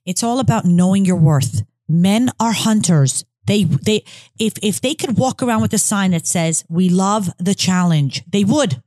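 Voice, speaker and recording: A woman's voice: mid-range voice, unhurried, dark tone, dry recording. Blunt woman giving emphatic advice. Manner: emphatic, blunt, preachy advice with repeated phrases. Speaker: podcast co-host and advice author. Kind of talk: casual advice chat.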